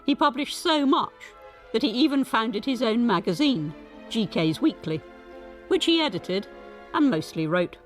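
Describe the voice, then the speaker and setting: A woman's voice: a little high, expressive, unhurried, soft background music, rough recording. A woman presenting and interviewing on radio, articulate and emphatic in her phrasing. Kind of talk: scripted narration and conversational interviewing.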